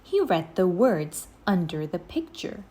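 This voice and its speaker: A woman reading a children's story aloud in an expressive, scripted storytelling style. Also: lowish voice, measured pace.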